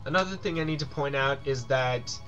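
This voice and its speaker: A man's voice: mid-range voice, fairly quick, fairly bright tone, faint music behind. A man hosting a video game playthrough with casual commentary. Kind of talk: casual let's play commentary.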